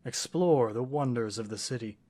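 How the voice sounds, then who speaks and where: Male actor as fantasy wizard boyfriend, medium pitch, gentle, bookish, eloquent, formal wording, affectionate, intimate audio roleplay. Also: normal speed, lightly acted. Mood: earnest, hopeful.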